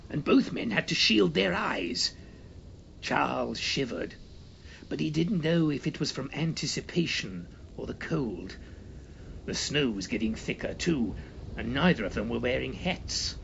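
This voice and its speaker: A man tells a comic horror tale with mock gravity and theatrical flourish. His pitch swoops widely, and the recording is a little dull with faint noise. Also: vocal fry, fairly bright tone. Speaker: storyteller. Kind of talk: scripted narration of a comic horror story.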